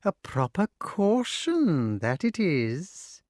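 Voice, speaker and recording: A storyteller voicing a gloating old witch, crooning with mock sweetness and menace in exclamatory, drawn-out words. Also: medium pitch, big pitch swings, darkish tone, no echo, studio quality, voice pushed up. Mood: mock-sweet, gloating.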